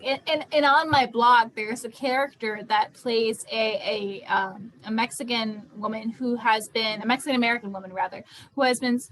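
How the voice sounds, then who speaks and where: A young woman with a high-pitched voice leads a casual student discussion. She talks conversationally and emphatically, often restating a point to drive it home. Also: fairly bright tone, low-quality recording. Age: young adult. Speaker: student theatre group discussion leader.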